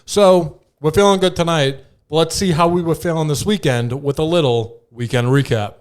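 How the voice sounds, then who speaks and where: A man's voice: fairly high pitch, moderate pace, clear, resonant tone. A man hosting a casual comedy podcast, bantering in a joking, self-deprecating way. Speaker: podcast host.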